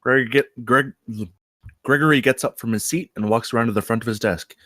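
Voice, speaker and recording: A man reading screenplay stage directions aloud as narrator, with deliberate dramatic emphasis. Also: medium pitch, a bit bright, no room sound.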